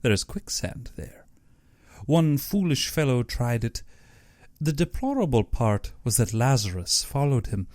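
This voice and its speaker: A man's voice: mid-range voice, big pitch swings, measured pace, darkish tone, dry recording, studio quality. A man voicing an urbane, aristocratic general in an audiobook, a courtly and theatrical villain who savors his words with sinister glee. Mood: businesslike, genteel menace. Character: urbane, cultured aristocratic general, gleefully sinister big-game hunter.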